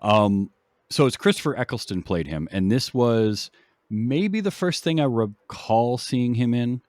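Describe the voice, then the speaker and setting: A man hosting a film podcast, casually explaining background in a halting, explanatory way. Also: medium pitch, expressive, darkish tone, dry recording, clean studio sound.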